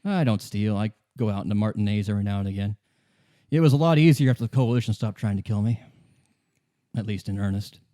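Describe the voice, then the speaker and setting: Man playing a low, mellow, weary old deserter. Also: expressive, lightly acted. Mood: evasive, weary. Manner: halting, repeating himself, grimly insistent. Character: bitter, weary old communist deserter, defiant ex-commissar.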